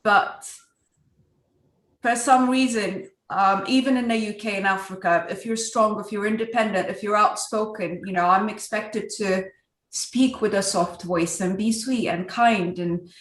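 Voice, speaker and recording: Panel guest, woman from Ethiopia, earnest and strong-voiced, mid pitch; echoey video-call room. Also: fairly even intonation, measured pace, a bit bright. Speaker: guest speaker. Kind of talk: virtual panel discussion.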